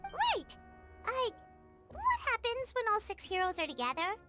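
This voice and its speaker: A woman voicing a tiny, curious, childlike fairy companion in a video game, with a squeaky, eager delivery. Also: wildly swooping pitch, measured pace, heavily acted. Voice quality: squeaky, bright. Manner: eager, perky questioning. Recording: background music under the voice.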